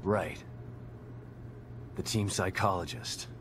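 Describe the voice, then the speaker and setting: A man's voice: sits low, slow pace, background noise. An actor playing a weary, determined father in a video game, talking in a terse, blunt, wry way. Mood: wary, blunt. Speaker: father searching for his daughter in a horror game. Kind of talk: video game cutscene acting. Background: background music.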